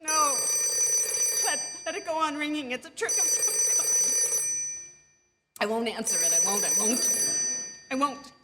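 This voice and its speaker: A woman's voice: sits high, animated, measured pace, long pauses. A woman playing a nervous, demanding married woman in a theatrical radio suspense play, with breathless exclamations and frantic repetition. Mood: fearful, brooding. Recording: live theatre stage, long reverb, noisy.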